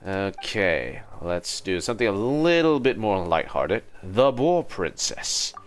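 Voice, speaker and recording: A man's voice: lowish voice, sing-song, takes it slowly, a little hiss or hum. A man livestreaming a game with casual commentary, musing and hesitating as he reacts. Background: game music.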